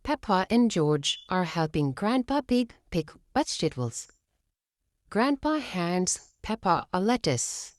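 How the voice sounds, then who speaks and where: Lady reading a kids story, very up and down voice, slow pace. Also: on the low side, sing-song, dry recording, studio quality. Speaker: children's storybook reader. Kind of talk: animated read-aloud story narration.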